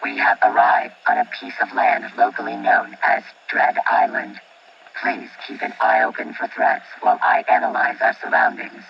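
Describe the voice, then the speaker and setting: A man's voice: big pitch swings, moderate pace, vocal fry, exaggerated voice. A man playing a formal, unemotional robotic flight engineer and bodyguard in a radio play, with a robot filter on the voice. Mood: calm, reassuring. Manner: formal, precise, unemotional machine-like phrasing. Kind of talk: audio drama acting. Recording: distant, muffled, very noisy with vehicle rumble.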